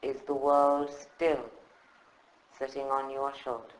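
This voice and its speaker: A man leading a guided meditation in a calm, soothing, measured way with long pauses. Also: medium pitch. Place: indoor room at a group meditation session. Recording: roomy, echoing live recording.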